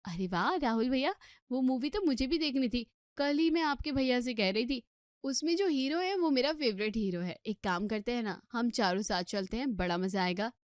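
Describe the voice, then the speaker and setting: A woman's voice: on the high side, lively intonation, normal speed, dry recording, studio quality, close to an everyday voice. Woman as an eager, chatty sister-in-law. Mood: excited.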